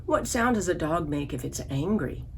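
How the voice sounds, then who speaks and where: A woman's voice: on the low side, animated, normal speed, noisy background. A woman leading an online story time for young children as a librarian, giving simple instructions and asking them questions. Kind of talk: children's online lesson with songs.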